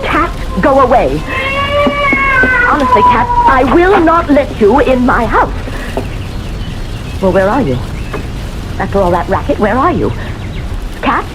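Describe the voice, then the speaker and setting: An actress playing an elderly, solitary, jumpy woman who talks to herself in halting, fretful phrases in a radio drama. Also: sits high, sing-song, rough recording. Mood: exasperated. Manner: fretful, halting, self-correcting. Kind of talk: old-time radio drama acting. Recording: old radio drama recording, music behind.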